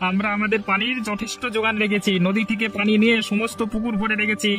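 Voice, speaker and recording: A man's voice: sits high, little pitch movement, fast, a bit bright. A man voicing a mocking, selfish village bully in a cartoon, jeering and gloating. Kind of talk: Bengali cartoon story dialogue.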